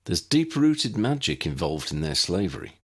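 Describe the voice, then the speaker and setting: A man's voice: low voice, big pitch swings, normal speed, a bit bright, breathy edge, full character voice. A man voicing an earnest young male wizard in an audiobook, explaining things patiently. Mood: thoughtful. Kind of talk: audiobook character dialogue.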